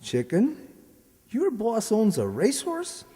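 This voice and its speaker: A man's voice: high voice, wildly swooping pitch, dark tone, exaggerated voice. A man playing a slangy, scheming small-time gambler boyfriend with an American accent, in old-time radio comedy style. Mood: breezy. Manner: slangy wise guy, drawn-out delivery. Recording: echoey hall recording.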